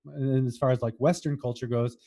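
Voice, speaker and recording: This is an American man with a soft, mellow tone that stays relaxed and unforced. He chats casually as a podcast co-host, with a wry streak and a habit of teasing. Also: mid-range voice, a little fast, vocal fry. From United States. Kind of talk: casual chat.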